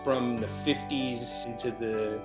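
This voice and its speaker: A man speaking admiringly and in measured phrases in a tribute interview. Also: mid-range voice, fairly even intonation. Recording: roomy echo under guitar music. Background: plucked guitar music.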